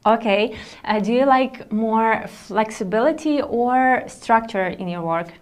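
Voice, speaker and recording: Higher-pitched woman hosting a webinar. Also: a little slow. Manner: friendly, asks short questions with fillers. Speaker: webinar co-host.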